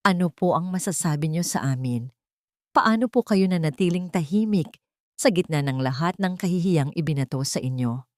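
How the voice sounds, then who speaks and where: A woman's voice: sits low, animated, normal speed, dry recording, clean studio sound. A woman narrator voicing an earnest, admiring young woman journalist in a story, asking tentatively.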